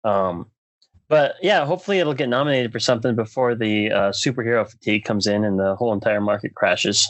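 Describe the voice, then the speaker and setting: A man's voice: on the low side, fairly quick, dry recording. A man co-hosting a podcast in casual chat, talking in an informal, opinionated, joking way. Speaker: podcast co-host.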